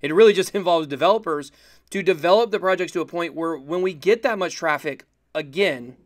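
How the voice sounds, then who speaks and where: A man's voice: fairly high pitch, brisk, no echo, studio quality. A man in his thirties hosting a casual livestream interview, talking in a conversational, explanatory way. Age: 30s. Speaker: livestream host.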